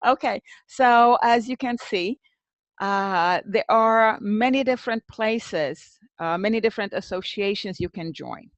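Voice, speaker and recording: A woman's voice: medium pitch, unhurried, dry recording. A woman presenting an informal webinar as host, conversational, thinking aloud and addressing attendees by name.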